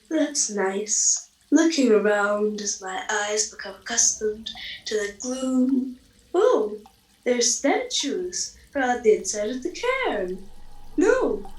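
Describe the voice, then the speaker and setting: A woman's voice: a little high, darkish tone. Woman doing a genteel time travel reporter for radio comedy, takes her time, wordy and understated, roomy echo. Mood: polite, uneasy. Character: breezy, posh-mannered woman time-travelling reporter, blithely curious, then alarmed. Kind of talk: radio comedy scripted narration.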